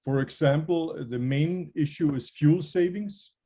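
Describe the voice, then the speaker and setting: A man answering interview questions about aviation technology, measured and hesitant as he explains technical points. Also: fairly high pitch, mellow.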